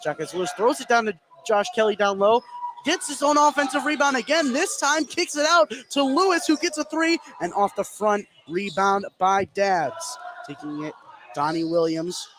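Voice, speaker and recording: Higher-voiced man calling basketball, noisy background. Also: normal speed. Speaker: play-by-play sports commentator. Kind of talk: live basketball radio play-by-play. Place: indoor basketball gym, courtside. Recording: clearly noisy live gym ambience.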